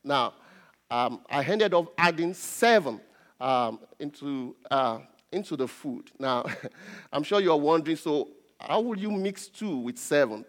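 Nigerian pastor preaching in a reverberant hall. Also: male voice, fairly high pitch, animated, unhurried, a bit bright. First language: Yoruba. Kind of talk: sermon preaching. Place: large reverberant indoor church space.

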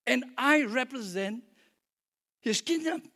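An older man with a Singaporean accent delivers a sermon. His voice sits quite high for a man. He teaches conversationally, checking in with questions as he goes. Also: animated, slow pace, sharp tone. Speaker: preacher. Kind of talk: sermon with scripture reading and teaching.

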